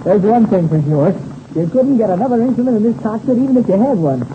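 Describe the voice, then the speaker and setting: A man's voice: sits high, animated, quick pace. An actor playing a wide-eyed, inquisitive teenage boy pilot with gee-whiz eagerness in a 1930s radio serial. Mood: eager wonder. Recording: scratchy, tinny old-time radio recording, noisy.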